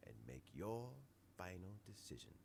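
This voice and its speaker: An actor playing a kindly old man in a video game, a reflective, unhurried mentor nearing retirement. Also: deep voice, lively intonation, darkish tone, slight background noise. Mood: measured, solemn. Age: older.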